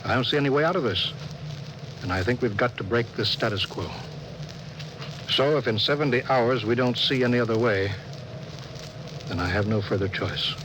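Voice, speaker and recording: A man playing a grimly resolute starship commander in a 1950s radio drama, authoritative and deliberate. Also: medium pitch, natural voice. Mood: grave, resolute. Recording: noisy old 1950s radio recording.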